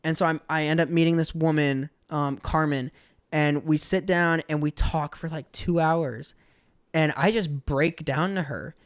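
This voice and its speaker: Smooth, higher-voiced young American man, dry sound. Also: steady tone, measured pace, no room sound. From Georgia, USA. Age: 20s. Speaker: podcast guest. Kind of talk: casual conversational storytelling.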